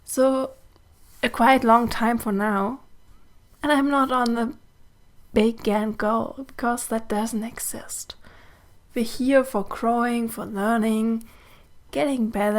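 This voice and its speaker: A German woman, a native German speaker, hosting a podcast in English in a soft, gentle, often breathy and hushed manner. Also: on the high side. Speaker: podcast host and mentor. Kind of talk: slow reflective solo podcast talk.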